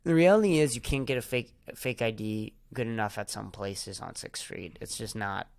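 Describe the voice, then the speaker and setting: A man's voice: fairly low pitch, moderate pace, gravelly, no echo. Rambling young man, dry close mic. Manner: loose, swearing, rambling with many fillers. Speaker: podcast co-host. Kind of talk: casual chat.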